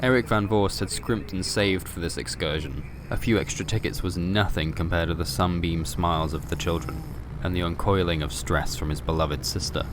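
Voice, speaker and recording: Deep-voiced man narrating horror fiction. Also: moderate pace, noisy background. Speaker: narrator and host of a fiction podcast. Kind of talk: scripted horror story narration. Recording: music bed under the voice. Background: music.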